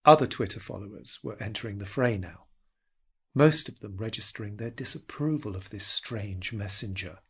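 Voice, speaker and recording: A man narrating a scripted audio drama with intense, dramatic, deliberate phrasing. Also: lowish voice, vocal fry, fairly bright tone, husky.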